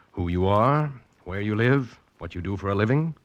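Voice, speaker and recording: An actor playing a genial, calculating rich uncle in a 1940s radio drama, speaking with polite, measured urbanity. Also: male voice, lowish voice, animated, no room sound, dull audio. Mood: suave, probing.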